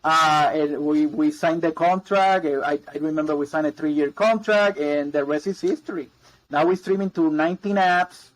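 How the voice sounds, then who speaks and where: A man's voice: a little high, normal speed, low-quality recording. Man, rambling guest. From Puerto Rico. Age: 40s. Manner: rambling, repeats words, many ums and uhs. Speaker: guest, mortgage broker. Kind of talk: casual interview chat.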